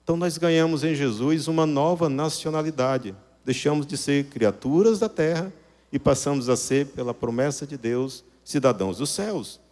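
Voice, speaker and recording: Northeast guy preaching, kinda high voice, explains stuff step by step, lots of hall reverb. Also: normal speed, a little mellow. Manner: didactic, rephrasing points with rhetorical questions. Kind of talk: sermon to a congregation.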